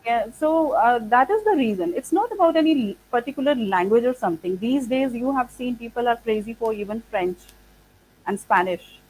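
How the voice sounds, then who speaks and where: A woman whose first language is Punjabi, from India, answering interview questions as an institute founder. She speaks thoughtfully and fills her pauses with "like" and "uh". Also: on the high side, animated, darkish tone, slight background noise, poor audio. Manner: thoughtful, many "like" and "uh" fillers. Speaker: founder of an English training institute.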